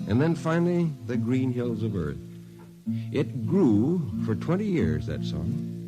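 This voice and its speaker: Weary male shipmate, American, low-pitched, reflective, vintage radio drama with music prominent underneath. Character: world-weary male spaceman, old shipmate telling the tale. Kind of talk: old-time radio drama narration.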